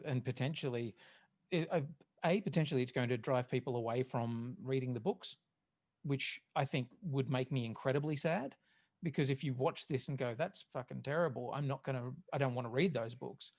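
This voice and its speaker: A man co-hosting a podcast in casual, opinionated discussion of pop culture, swearing now and then. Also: medium pitch. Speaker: podcast host.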